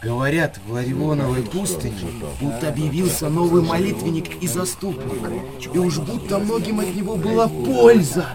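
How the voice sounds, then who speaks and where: Актёр играет высокий тревожный голос из толпы. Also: male voice, a little high, moderate pace, natural voice. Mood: awed rumour. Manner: urgent, imploring. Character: anxious pleading villagers in a pilgrim crowd. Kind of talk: radio drama crowd acting. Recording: loud crowd din, distant voices.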